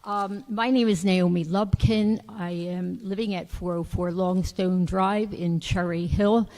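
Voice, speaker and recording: A woman in her fifties speaks with a hoarse, dark, mellow voice, pausing and restarting as she addresses a school board. Her voice is lower than most women's and carries a long reverb from the meeting hall. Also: fairly even intonation. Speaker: public commenter. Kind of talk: public comment at a board meeting.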